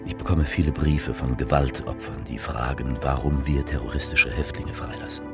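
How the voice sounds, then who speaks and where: An actor playing a grave, earnest statesman giving a theatrical political address in a measured, confiding manner. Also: extremely low pitch, fairly even intonation, vocal fry, over loud music. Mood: solemn.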